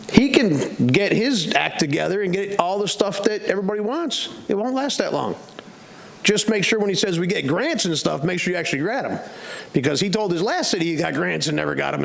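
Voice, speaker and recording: A man's voice: high voice, vocal fry. A man making blunt, rambling public comments at a city meeting. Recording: echoey chamber with faint noise.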